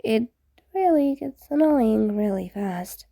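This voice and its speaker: A woman's voice: fairly high pitch, expressive, slow, gravelly, dark tone, dry recording, clean studio sound, heavily acted. A woman voicing an awkward, puzzled, apologetic teenage boy in a story, hesitant and rambling in a casual way. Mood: awkward, explaining.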